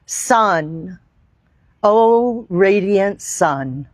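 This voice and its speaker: Woman yoga teacher, unhurried and reverent, dry close sound, guiding breath and meditation. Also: mid-range voice, lively intonation, no room sound. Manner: slow, deliberate, reverent phrasing with long pauses. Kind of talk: guided meditation and yoga instruction. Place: outdoor park.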